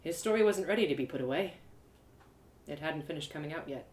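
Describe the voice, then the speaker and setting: Low-voiced woman storyteller, echoey room. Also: lively intonation, a little fast, a little mellow. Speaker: storyteller and host of live readings. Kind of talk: expressive first-person story narration. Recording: roomy, echoey live reading.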